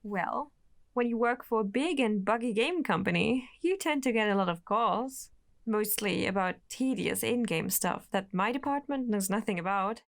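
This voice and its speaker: A woman's voice: medium pitch, animated, normal speed, vocal fry. An actress playing a volatile, obsessive young woman in a radio drama, with a dramatic, defensive, sardonic way of talking. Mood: polite, weary.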